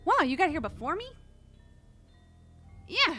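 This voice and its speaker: A woman chatting casually while playing a game with a friend, quick and exasperated. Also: very high voice, sing-song, bright, forceful tone, faint music behind. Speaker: co-player. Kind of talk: casual let's-play banter.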